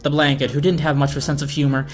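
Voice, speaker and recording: A man's voice: fairly high pitch, level tone, rapid-fire. A man reading a scripted story aloud as narrator. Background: orchestral film score.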